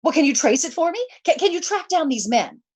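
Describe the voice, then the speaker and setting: A woman's voice: sits high, sing-song, fairly quick, darkish tone. An actress playing an agitated, demanding married woman in an old-time radio thriller, stammering and repeating herself indignantly. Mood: anxious, insistent. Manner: stammering, breathless repetitions, indignant.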